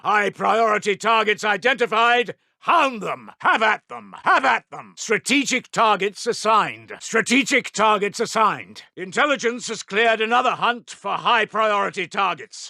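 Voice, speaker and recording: A man's voice: high voice, bright, forceful tone, dry recording, clean studio sound, exaggerated voice. A man playing a stern, zealous wartime intelligence officer in a strategy game, giving formal, clipped, martial announcements. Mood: rousing, defiant. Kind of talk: scripted game unit announcements.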